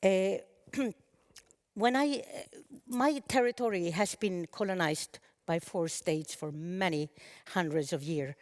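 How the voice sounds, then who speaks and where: Earnest woman speaker, lowish. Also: darkish tone. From Sápmi, northern Sweden. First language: Sami. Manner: earnest, halting, with restarts. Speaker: panel speaker. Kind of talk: conference speech.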